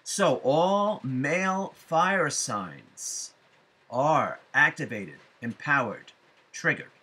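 A middle-aged man giving a spiritual forecast to viewers, speaking deliberately with emphatic phrasing and frequent pauses. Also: on the high side, lively intonation, pushed and bright, husky. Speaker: astrology forecaster and psychic medium. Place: small room at home, indoors.

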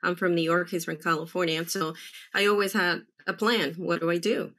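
A woman from El Salvador in her forties speaks on a video call from a room at home. Her voice sits a little low but sounds bright. She talks earnestly and haltingly, often restarting her sentences. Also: fairly even intonation. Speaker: panel guest, military spouse. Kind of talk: candid panel talk.

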